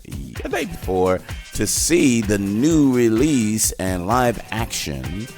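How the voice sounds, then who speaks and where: A man with a very low voice and a gravelly edge talks casually as co-host of a movie review. His delivery is halting and hesitant, with soft music faintly underneath. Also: big pitch swings.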